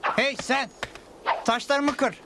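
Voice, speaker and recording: A man's voice: very high-pitched, fairly even intonation, takes it slowly, very noisy, exaggerated voice. A man voicing a cocky, bratty young outlaw in a cartoon, full of boasts. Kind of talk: Turkish cartoon dub acting. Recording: music behind the voice.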